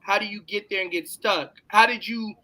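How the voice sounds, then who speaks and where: A man with a Texas accent hosts a casual live show. His voice is bright and forward, and he chats in a slangy, bantering way. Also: high-pitched, moderate pace, poor audio. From California, long settled in Texas. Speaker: talk show host. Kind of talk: casual live-stream interview chat.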